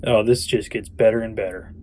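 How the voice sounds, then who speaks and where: An actor in an audio drama playing a defiant hero with a sarcastic, incredulous manner. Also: male voice, lowish voice, fairly even intonation, a little fast, dark tone, close to an everyday voice.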